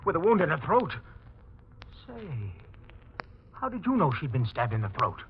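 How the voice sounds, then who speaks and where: An actor playing a haunted, overwrought murder suspect protesting his innocence in 1940s radio mystery style, swinging between hushed dread and outbursts. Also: on the high side, big pitch swings, moderate pace. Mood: insistent. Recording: muffled, noisy 1940s radio recording.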